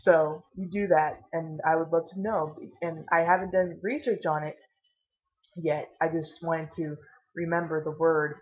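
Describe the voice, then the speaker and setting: A tired, caffeinated woman vlogging casually with a low voice, rambling and swearing now and then. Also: fairly even intonation, a little slow, dull audio.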